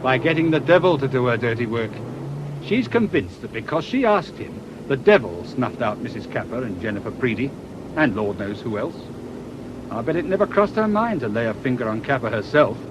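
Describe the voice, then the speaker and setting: An actor playing a shrewd British police inspector in a radio drama, with a dry, colloquial, knowing manner. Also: male voice, big pitch swings, fairly quick, close to an everyday voice. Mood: assured, wry. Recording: noisy outdoor ambience, vehicle rumble.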